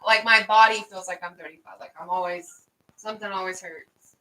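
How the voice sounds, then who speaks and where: A woman's voice: medium pitch, a little fast, distant mic, slight background noise, low-quality recording. A woman in her twenties chatting casually with her mother, telling stories with lots of "like". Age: 20s. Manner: animated storyteller, says "like" a lot. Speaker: daughter and co-host. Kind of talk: casual family chat.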